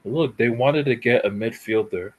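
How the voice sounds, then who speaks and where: A man on a football chat panel, giving his view with many pauses and fillers. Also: medium pitch, narrow, tinny audio. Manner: halting, lots of fillers. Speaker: panel guest.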